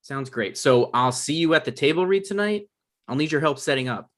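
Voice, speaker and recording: An actor at a comedy table read playing a petty, self-important production coordinator, dry and argumentative. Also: male voice, on the high side, animated, fairly quick, natural voice. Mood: needy, frustrated.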